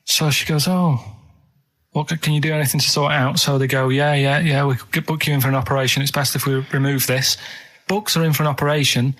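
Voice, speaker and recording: A man's voice: mid-range voice, steady tone, fast talker, fairly bright tone, low-quality recording. An English man co-hosting a radio show, rambling in an earnest, matter-of-fact, colloquial way. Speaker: radio show co-host telling odd stories. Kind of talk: rambling casual chat. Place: radio studio.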